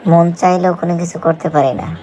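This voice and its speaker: A man's voice: on the high side, fairly even intonation, fairly quick, warm tone, natural voice. A man playing a weary, homesick migrant worker in a cartoon, speaking in an earnest, lamenting way. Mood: sorrowful. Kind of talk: scripted cartoon dialogue.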